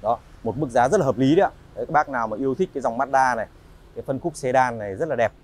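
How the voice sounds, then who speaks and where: A man in his thirties walks through a big indoor car showroom, pitching used cars to viewers. He talks in a polite, chatty sales patter at a middle pitch, stopping often on little filler sounds before carrying on. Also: racing. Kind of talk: walk-around sales presentation.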